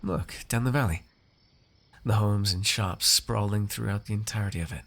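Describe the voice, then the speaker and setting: Male actor as possessive aristocratic master, low pitch, gravelly edge, formal condescending drawl, teasing, ASMR roleplay. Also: normal speed, fairly bright tone, a little hiss or hum. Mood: commanding, inviting. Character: haughty, teasing noble master, smooth-tongued and possessive.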